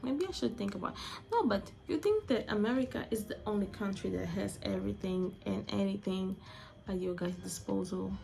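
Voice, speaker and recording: Young female vlogger from Angola, Portuguese first language, gravelly, restarts phrases a lot. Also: medium pitch, moderate pace, dark tone, soft background music. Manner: chatty, searching for words, restarts phrases. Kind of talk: casual opinion vlog.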